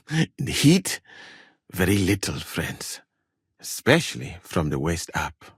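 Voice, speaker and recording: Casting: courteous, learned traveler-physician for a game; lowish husky voice, formal careful wording, expressive swings in pitch. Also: male voice, no room sound, studio quality, exaggerated voice. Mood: amused, wry. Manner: measured, formal, careful phrasing. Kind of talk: video game character dialogue.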